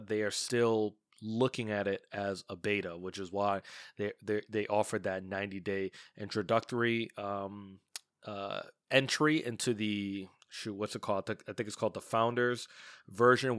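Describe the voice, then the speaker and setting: A man's voice: on the low side, no room sound, studio quality. A man with a congested, stuffy voice from a cold, hosting a casual gaming podcast and talking with lots of fillers and restarts. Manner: chatty, many fillers and restarts. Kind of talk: casual solo podcast commentary.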